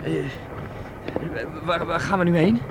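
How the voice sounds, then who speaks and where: An actor playing a hesitant, stammering young serf in a radio play. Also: male voice, high voice, measured pace, background noise.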